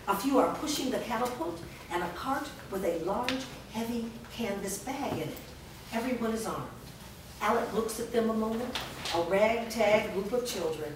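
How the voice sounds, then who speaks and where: A woman narrating stage directions in a staged script reading, reading them clearly and evenly. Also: medium pitch. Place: large indoor studio room. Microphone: far camera. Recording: echoey room from far off, noisy background.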